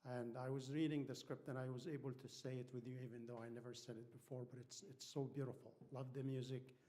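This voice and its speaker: A man with an Egyptian accent and Arabic as his first language speaks at a middling pitch. He addresses a congregation informally as a guest speaker. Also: flat delivery, fast, mellow. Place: church hall.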